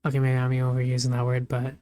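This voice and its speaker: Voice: medium pitch, monotone, fast, mellow. Rambling, laughing gamer. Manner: rambling, laughs often, swears casually. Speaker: gameplay video creator. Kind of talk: casual rambling gameplay commentary.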